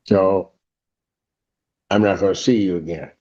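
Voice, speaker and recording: A man's voice: low-pitched, fairly even intonation, unhurried, mellow. A man speaking as a judge running courtroom proceedings, on a dull courtroom recording. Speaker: judge presiding over court. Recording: dull lo-fi courtroom video audio.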